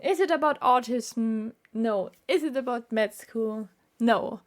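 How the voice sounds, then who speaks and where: Slow, earnest woman. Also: fairly high pitch, soft background music. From Austria. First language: German. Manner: earnest, halting with frequent fillers. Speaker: vlogger. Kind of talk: casual talk to camera.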